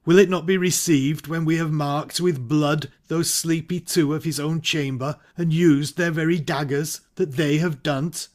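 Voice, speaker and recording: A man playing a hesitant, ambitious nobleman in a Shakespearean audio drama, speaking verse in a measured way. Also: a little high, steady tone, lightly acted. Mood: resolved.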